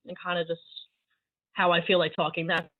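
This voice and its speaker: A woman's voice: lowish voice, level tone, moderate pace. Young woman on tinny call audio. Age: young adult. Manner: candid, conversational, with fillers. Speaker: panelist. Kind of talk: casual panel introduction.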